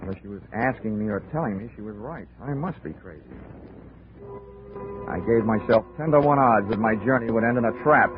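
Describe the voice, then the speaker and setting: A man's voice: lowish voice, fairly quick, music in the background, natural voice. An actor playing a wry, hard-boiled male insurance investigator who narrates and wisecracks in clipped 1940s radio style. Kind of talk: 1940s radio acting with first-person narration. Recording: scratchy phone-like 1940s radio broadcast.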